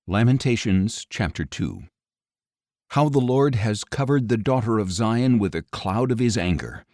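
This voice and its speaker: A man reading scripture as an audiobook narrator in a solemn, grave, measured delivery with deliberate pauses. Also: on the low side, lively intonation, darkish tone, dry recording, clean studio sound.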